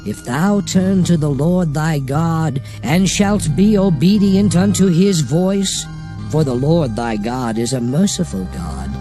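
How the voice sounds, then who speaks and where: Casting: grave old male prophet for dramatized scripture, high-pitched for a man, widely swooping declamation. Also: dark tone. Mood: solemn exhortation. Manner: grave, deliberate, declamatory oratory. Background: music.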